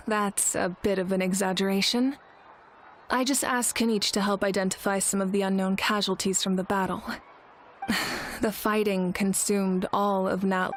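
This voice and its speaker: A woman's voice: medium pitch, level tone, slight background noise, close to an everyday voice. Woman as a composed, solemn female chief. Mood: grave. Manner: measured, grave, sighing. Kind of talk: video game voice acting.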